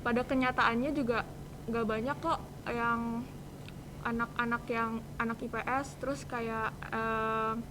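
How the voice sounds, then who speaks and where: Teenage girl, high voice over noisy ambience. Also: steady tone, measured pace. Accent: Jakarta Indonesian. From Indonesia. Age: teens. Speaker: student podcast guest. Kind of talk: casual podcast conversation.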